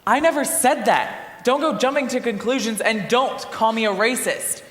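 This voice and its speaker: A man's voice: very high-pitched, moderate pace, fairly bright tone. A man playing an impatient, brusque jury leader with a sarcastic manner in a stage play. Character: impatient, dismissive jury leader eager to leave. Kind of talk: live stage play acting. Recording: echoey hall stage recording.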